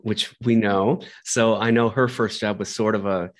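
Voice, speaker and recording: A man's voice: on the low side, steady tone, normal speed, dry recording. A man hosting an interview podcast over a remote call, bantering with self-deprecating jokes. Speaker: podcast host.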